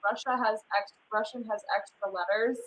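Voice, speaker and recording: A woman from Michigan chatting casually on a live stream, easygoing and quick to laugh. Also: mid-range voice, steady tone, a bit bright, a little hiss or hum, poor audio.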